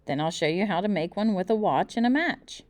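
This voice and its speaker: Woman reading as a patient dad, lively intonation. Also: mid-range voice, brisk, close to an everyday voice. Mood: reassuring. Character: patient, reassuring father of a young boy. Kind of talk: children's storybook read-aloud.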